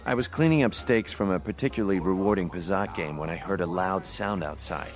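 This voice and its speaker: An amiable cantina gambler voiced by a man from a video game, fond of drink and chat. His voice sits fairly low, with clear music behind it. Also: a little fast, natural voice. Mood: sober, recounting. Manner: affable, chatty, colourful. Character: amiable, hard-drinking cantina card player. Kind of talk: video game character dialogue.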